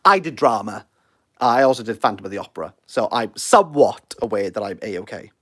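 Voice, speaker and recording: A man's voice: lowish voice, expressive, a little fast, a bit bright. A Welsh man in his thirties hosting a casual livestream, rambling and self-deprecating as he answers viewer comments. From Wales. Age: 30s. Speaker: livestream host.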